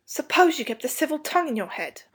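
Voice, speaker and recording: British schoolboy, played by a woman, high voice, blunt, grumpy, quick-tempered, snappy, storybook character. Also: close to an everyday voice.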